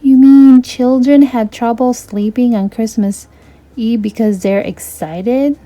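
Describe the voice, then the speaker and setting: Naive young princess played by a female reader: higher-pitched, excitable, anxious, earnest, for storybook reading. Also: slow, poor audio. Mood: hopeful surprise. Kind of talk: children's storybook character reading.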